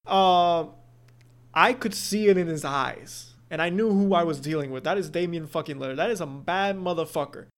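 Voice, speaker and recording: A man in casual sports chat, excitedly telling a fan story. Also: fairly high pitch, moderate pace.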